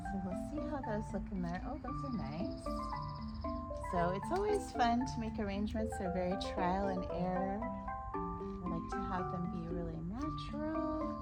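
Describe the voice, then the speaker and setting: Woman, slightly hoarse, slow, unhurried, gentle chatty vlogger, loud music behind. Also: medium pitch. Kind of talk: casual garden vlog, demonstrating. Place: outdoors in a backyard garden.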